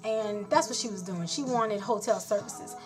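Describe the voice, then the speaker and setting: A woman's voice: mid-range voice, moderate pace, music in the background. A woman born in Haiti and raised in South Florida, a nurse, venting bluntly and frankly in a rambling casual vlog.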